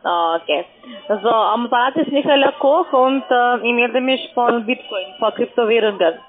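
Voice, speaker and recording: A woman's voice: on the high side, normal speed, faint music behind. A woman working as a call centre agent, questioning a customer in broken, non-native phrasing over the phone. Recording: tinny narrow phone line.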